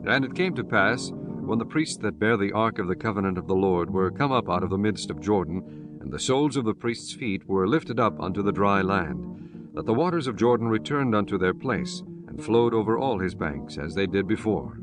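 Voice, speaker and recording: A man with a fairly low voice narrates a Bible audiobook. His reading is solemn, formal and measured, with guitar music clearly playing behind him. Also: expressive.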